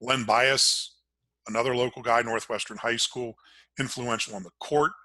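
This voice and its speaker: Casting: older American male sports announcer as podcast guest. Penetrating, bright delivery in a dry close sound, emphatic phrasing. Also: mid-range voice, steady tone, moderate pace, no room sound. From Maryland, United States. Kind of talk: conversational sports interview.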